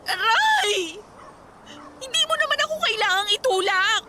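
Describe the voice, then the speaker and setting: A young female student in a radio drama, pleading and whining at an abusive boyfriend. Her voice sits extremely high and sounds distressed. Clear noise runs behind her. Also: sing-song, measured pace, exaggerated voice. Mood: pained, protesting. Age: young adult.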